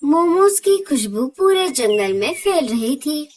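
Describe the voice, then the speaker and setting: A woman's voice: sits high, very animated, measured pace, a little hiss or hum. A woman reading scripted storyteller narration for a children's cartoon. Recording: loud children's music behind the voice.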